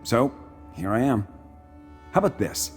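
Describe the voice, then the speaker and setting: An actor playing a proud, shrewd Imperial military commander in a video game, speaking formally and with dry self-assurance. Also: male voice, medium pitch, big pitch swings, measured pace. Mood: resigned, matter-of-fact, then bargaining, composed. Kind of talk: video game character dialogue. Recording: music playing behind the voice.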